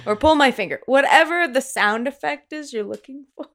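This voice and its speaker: A woman's voice: high-pitched, fairly quick, fairly bright tone. A woman on a podcast cast, chatting and joking casually.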